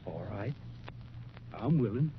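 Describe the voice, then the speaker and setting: Folksy American prospector, thin old-radio sound. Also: male voice, fairly low pitch, lively intonation. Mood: remorseful, tender. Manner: folksy, halting rustic speech. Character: Smitty, remorseful, loyal male desert prospector. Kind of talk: old-time radio western acting. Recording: muffled, narrow-band old radio, faint noise.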